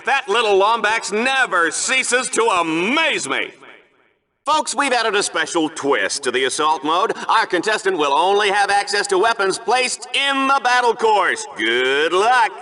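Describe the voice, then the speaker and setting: A man's voice: high-pitched, sharp tone, exaggerated voice. An actor playing a hammy, gleefully callous male sports show announcer in a video game, commentating like a bombastic showman with exaggerated emphasis.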